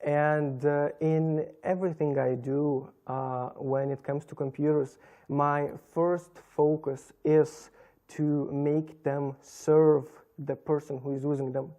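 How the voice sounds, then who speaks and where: Young lithuanian guy being interviewed, flat mellow voice, measured explaining, noticeable accent. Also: on the high side, lo-fi sound. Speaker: computer business guest. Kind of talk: interview talk. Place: TV studio in a hotel.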